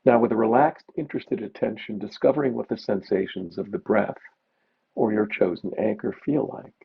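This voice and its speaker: A man teaching meditation, giving gentle, unhurried guided mindfulness instructions with pauses. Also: fairly low pitch, vocal fry, husky. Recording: dull, muffled lo-fi recording.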